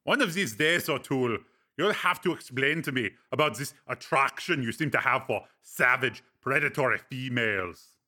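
A man's voice: mid-range voice, expressive, moderate pace, pushed and bright. A man reading aloud, voicing a dry, mocking older male companion in a storybook. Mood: teasing. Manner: wry, teasing.

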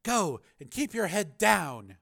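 A man's voice: high voice, sing-song, slow pace, vocal fry, breathy edge, pitched above the performer's own voice, exaggerated voice. Man voicing a brash, bossy woman reporter. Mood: brash, urgent. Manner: shouting, commanding.

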